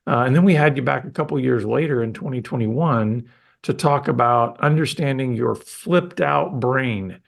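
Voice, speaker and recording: A man's voice: medium pitch, animated, normal speed, gravelly. A man hosting a podcast, chatting with his guest in an enthusiastic, rambling way with frequent restarts. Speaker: podcast host. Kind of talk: casual interview chat.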